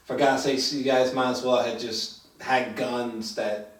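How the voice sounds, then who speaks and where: A man is heard from a little way off in an echoey room. He reviews films in casual conversation as a host, groping for words in a halting, informal flow with some swearing. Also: medium pitch. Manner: halting, filler-heavy, swearing, animated when excited. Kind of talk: casual film review chat. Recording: echoey room, distant from mic.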